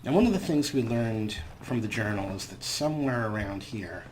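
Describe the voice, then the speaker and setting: A man hosting a let's play, narrating the game as a story in deliberate, broken phrases. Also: lowish voice, expressive, poor audio. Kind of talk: in-character story narration of gameplay. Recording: loud game ambience noise.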